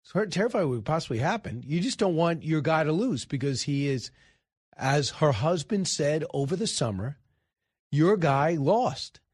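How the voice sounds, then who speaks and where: Higher-voiced American man, live radio host. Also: lively intonation, normal speed, darkish tone, husky, no room sound, studio quality. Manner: conversational, rambling, self-correcting. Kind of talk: live talk radio commentary. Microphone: close studio microphone.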